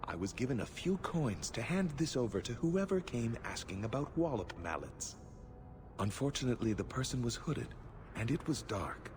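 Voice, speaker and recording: A man's voice: mid-range voice, sing-song, normal speed, noisy background, close to an everyday voice. An actor playing a sly, aloof non-human male informant in a fantasy video game, evasive and condescending. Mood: sly, dismissive.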